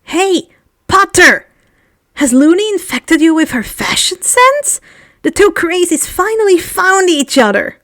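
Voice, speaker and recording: A woman playing a nasty, taunting teenage bully girl, calling out in a drawn-out jeer. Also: extremely high pitch, big pitch swings, a bit bright, no room sound, clean studio sound, pitched above the performer's own voice, full character voice. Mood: mocking.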